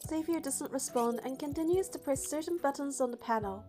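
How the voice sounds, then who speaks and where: A woman narrating a game playthrough, reading the story text aloud in a steady, even way. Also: high voice, fairly quick, faint music behind.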